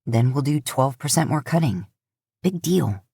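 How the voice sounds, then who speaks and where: A woman voicing a stubborn young male hunter in a lowered, gruff voice. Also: animated, a little slow, dark tone, no room sound, studio quality, full character voice. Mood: defensive.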